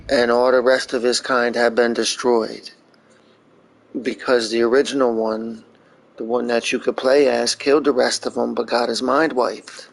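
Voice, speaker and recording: Casting: male game commentator, casual, monotone, gravelly fry. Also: medium pitch, low-quality recording.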